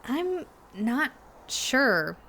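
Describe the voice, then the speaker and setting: A woman playing a shaken young cafe worker in an audio drama, talking haltingly and hesitantly, with sighs and pauses. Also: high voice, very animated, pushed and bright, a little hiss or hum, heavily acted. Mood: uneasy. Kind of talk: naturalistic audio drama dialogue.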